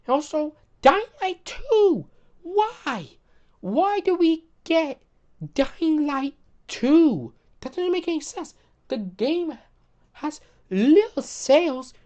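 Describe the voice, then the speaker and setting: A woman hosting casual gaming commentary, opinionated, often repeating and restarting words, her voice getting hoarse. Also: sits high, mellow, lo-fi sound. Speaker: gaming video host.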